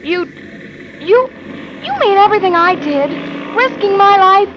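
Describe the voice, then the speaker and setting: An actress playing a feisty, wisecracking young dancer in a 1940s radio drama on a noisy old recording. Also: female voice, very high-pitched, expressive, unhurried. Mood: indignant.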